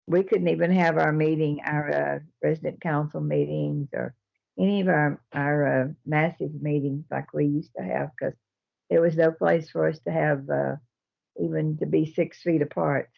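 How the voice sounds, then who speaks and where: An older woman from Texas, a care home resident answering interview questions in a slow, halting way with many fillers. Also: sits low, fairly even intonation, creaky. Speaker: nursing home resident and resident council president. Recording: dull lo-fi recording.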